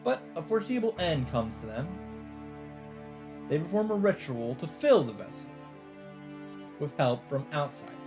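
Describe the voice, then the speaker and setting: A man reading a visual novel aloud as a let's player, earnest and expressive, mixing dramatic narration with casual commentary. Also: fairly high pitch, normal speed, long pauses. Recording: background music under the voice. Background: game music.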